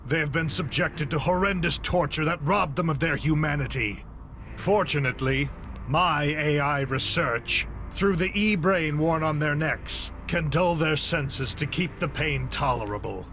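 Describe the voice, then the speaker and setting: An actor playing a grieving, zealous AI researcher turned hostage-taker in a video game cutscene, delivering grandiose speeches. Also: male voice, on the high side, measured pace, a bit bright, a little hiss or hum. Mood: defiant, bitter. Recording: music behind the voice.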